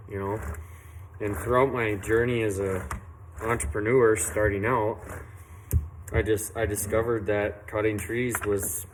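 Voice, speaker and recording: Earnest low-voiced man telling his story. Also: slight background noise, rough recording. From western Illinois, USA. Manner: unhurried, earnest, with many fillers and restarts. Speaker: tree service owner. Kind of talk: casual personal story to camera. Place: outdoors at a work site, doing saw maintenance.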